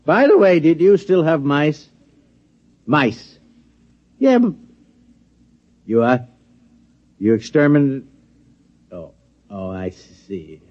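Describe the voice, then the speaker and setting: A man's voice: a little high, animated, slow pace, pauses often. A man playing a genial government official who coaxes and placates in an American accent, hesitant and repeating himself, in a 1950s radio drama. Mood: chummy, awkward. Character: genial, coaxing government man plying a guest for secrets. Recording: muffled old radio broadcast recording.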